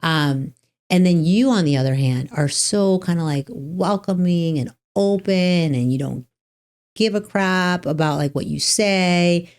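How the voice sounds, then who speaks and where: A woman's voice: lowish voice, unhurried, darkish tone. A woman hosting a casual podcast conversation as a trial attorney, chatty and rambling, full of fillers and restarts.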